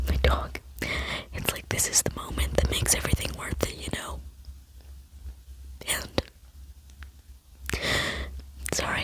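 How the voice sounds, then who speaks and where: A woman in her late teens whispering an earnest, rambling ASMR chat into a close microphone. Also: poor audio. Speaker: ASMR vlogger.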